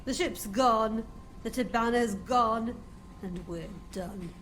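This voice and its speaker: A woman's voice: fairly high pitch, slow pace, a bit bright, a little hiss or hum. Woman acting a blunt, bitter starship captain. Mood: bitter, sardonic. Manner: blunt, sardonic. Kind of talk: audio comic dub acting.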